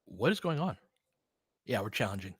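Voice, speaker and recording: A man's voice: on the low side, very animated, normal speed, breathy edge, no echo, clean studio sound. A man doing informal, partisan live basketball commentary as a fan host, talking casually with some mild swearing.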